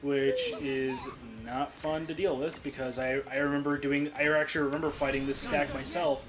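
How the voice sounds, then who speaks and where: Male let's-play commentator: casual and halting, average pitch, game music underneath. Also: flat delivery, poor audio. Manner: rambling, full of restarts and fillers.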